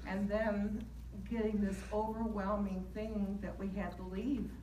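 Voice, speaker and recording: An older woman giving an earnest testimony in church, speaking deliberately and with emphasis. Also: medium pitch, mellow. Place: large church sanctuary. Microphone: handheld mic, picked up by distant camera. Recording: echoey church from far off, faint noise.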